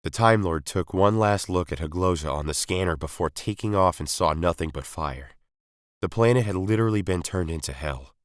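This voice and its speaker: A man with a low voice narrates a science fiction story from a script. He works as a storyteller reading prose aloud. The sound is dry, with very little room around it. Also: normal speed, dry recording. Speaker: story reader.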